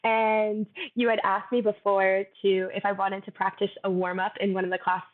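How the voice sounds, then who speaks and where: A young woman, a fitness coach, talking softly and thoughtfully in a casual interview, laughing easily. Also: medium pitch, little pitch movement, normal speed. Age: young adult. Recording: tinny phone-like line.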